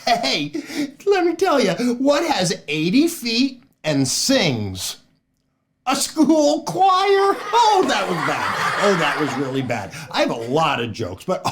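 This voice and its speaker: An older man, a children's entertainer hosting a storytime show in a playful, exaggerated manner. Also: a little high. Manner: playful, exaggerated, often chuckling at own jokes. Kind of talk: animated children's show hosting and read-aloud.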